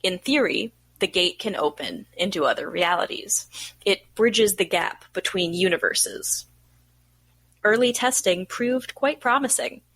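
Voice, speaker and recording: A woman's voice: fairly low pitch, fairly bright tone, breathy edge, heavily acted. A woman playing a weary research scientist recording experiment logs in an audio drama, speaking in a dry, formal, precise manner. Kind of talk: scripted audio drama log narration.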